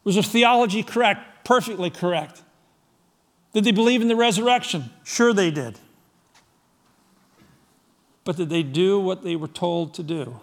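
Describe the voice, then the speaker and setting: A middle-aged man from Scotland preaching a sermon to his congregation, firing rhetorical questions, swinging from loud emphasis to soft, low asides. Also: a little slow, creaky, pauses often. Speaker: pastor.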